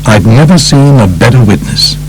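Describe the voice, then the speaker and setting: A man voicing a solemn judge in an audiobook, formal, measured and rhetorical. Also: medium pitch, animated, faint music behind, lightly acted, low-quality recording. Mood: approving. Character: solemn male judge weighing a criminal informant's worth. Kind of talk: audiobook character reading.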